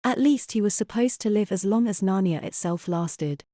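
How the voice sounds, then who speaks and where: A woman's voice: medium pitch, normal speed, dark tone, no echo, studio quality, close to an everyday voice. A woman playing an earnest, doubting wife and mother in an audio drama. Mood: polite, thoughtful.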